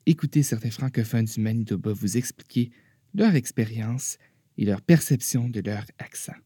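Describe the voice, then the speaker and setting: A man presenting a radio show from a script, with a husky voice. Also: medium pitch, expressive, normal speed, warm tone, no echo, clean studio sound. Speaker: radio host.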